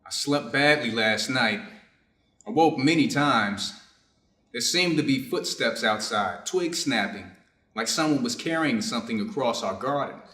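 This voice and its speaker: A man's voice: medium pitch, animated, unhurried, pushed and bright. A man playing a reserved, conflicted Japanese doctor in a staged reader's theater drama, formal and guarded in his phrasing. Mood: guarded, uneasy. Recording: roomy, echoing space.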